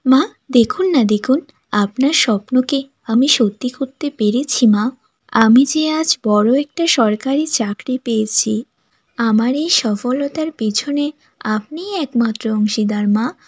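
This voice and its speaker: A woman voicing a gentle, earnest young daughter-in-law in a family drama cartoon. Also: on the high side, slow pace, breathy edge, no room sound, studio quality. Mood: grateful. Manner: polite, sincere.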